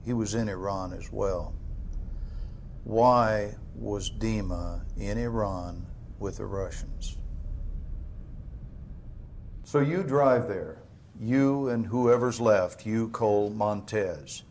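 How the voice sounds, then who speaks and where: An actor playing a cold, dismissive government interrogator in a game cutscene. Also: male voice, on the low side, slow, long pauses, a little mellow, a little hiss or hum. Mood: stern. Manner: clipped, dismissive, authoritative.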